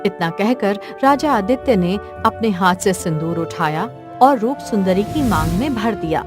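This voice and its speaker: A woman's voice: mid-range voice, animated, measured pace. Narrator for a cartoon fairy tale: woman, middle-register voice, music underneath. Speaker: story narrator.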